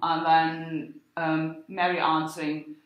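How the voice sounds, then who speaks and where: A woman giving an academic lecture, explaining in a halting way as she thinks aloud. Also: low-pitched, level tone, dull audio. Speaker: university lecturer. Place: indoor room with echo. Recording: echoey room.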